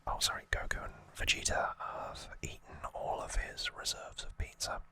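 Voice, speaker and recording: A man's voice: normal speed, sharp tone. A man whispering as an ASMR host, giving an informal, rambling trivia recap and correcting himself as he goes.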